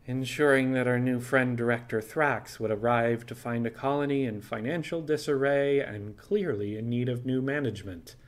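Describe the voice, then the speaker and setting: A man's voice: mid-range voice, moderate pace, hardly any pauses, natural voice. A man voicing a wry, shrewd, smiling crew member in an audiobook story. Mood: wry, amused. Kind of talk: audiobook character dialogue.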